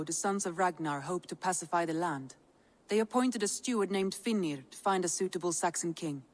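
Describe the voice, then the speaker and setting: An actress playing a composed adviser in a video game cutscene, briefing clearly and informatively. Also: female voice, fairly low pitch, natural voice. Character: composed female adviser, adult.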